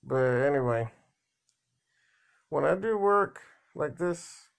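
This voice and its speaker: A man talking in a casual, rambling monologue, halting and repeating words, blunt and plain-spoken. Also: a little high, lively intonation, vocal fry. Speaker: vlogger.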